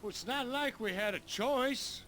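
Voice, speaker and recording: An actor playing a brooding, hot-headed outlaw brother of the Old West, with a menacing drawl. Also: male voice, very high-pitched, unhurried, bright, forceful tone, exaggerated voice. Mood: insistent. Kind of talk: cinematic Western game acting.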